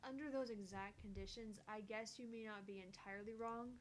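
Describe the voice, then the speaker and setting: A woman's voice: mid-range voice, steady tone, a little fast, a little hiss or hum, close to an everyday voice, poor audio. A woman voicing a skeptical, brainy young woman scientist in a visual novel, explaining matter-of-factly and dismissively. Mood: analytical. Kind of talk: visual novel dialogue read aloud.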